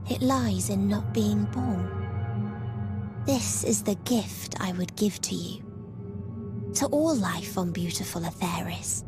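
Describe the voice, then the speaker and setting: A woman playing a grave, nihilistic otherworldly villain in a video game, with solemn, formal, deliberate phrasing. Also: mid-range voice, close to an everyday voice. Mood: bleak, solemn. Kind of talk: dramatic video game voice acting. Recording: music behind the voice.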